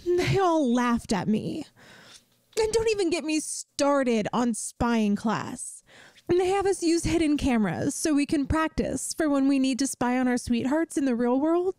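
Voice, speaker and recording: A woman's voice: high voice, lively intonation, measured pace, a little mellow, no echo. A woman playing a ditzy, sheepish young friend in an audio roleplay, talking to the listener in an earnest, self-deprecating, hesitant way. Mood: exasperated, embarrassed. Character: ditzy, sheepish young female friend failing yandere school. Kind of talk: scripted audio roleplay, spoken directly to the listener.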